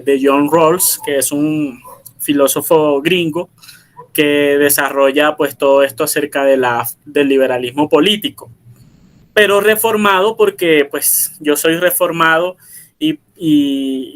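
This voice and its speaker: A man talks through an informal lecture in a fairly high voice. He hesitates often, restarts phrases and fills gaps with drawn-out filler sounds, then reads passages aloud in a steadier tone. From Colombia, Barranquilla. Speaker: philosopher giving a presentation. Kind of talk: informal lecture, explaining and reading texts.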